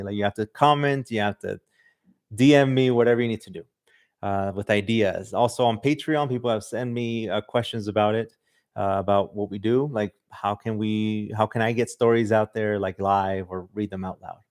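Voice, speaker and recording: Male podcast host, Mexican-tinged accent, lowish voice, rambling livestream chat. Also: a little mellow. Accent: Mexican Spanish influence. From Southern California. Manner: loose and chatty, many "like" and "um" fillers. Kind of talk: casual livestream chat reading viewer comments. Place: livestream in front of a camera.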